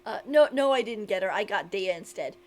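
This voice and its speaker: A woman's voice: mid-range voice, animated, fairly quick, faint music behind. A woman giving casual, off-the-cuff live commentary as she streams a game.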